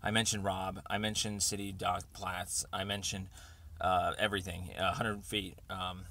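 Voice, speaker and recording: A man leaving an informal, rambling voicemail over the phone, speaking haltingly as he pieces his thoughts together. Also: sits low, flat delivery, vocal fry, pushed and bright. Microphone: telephone.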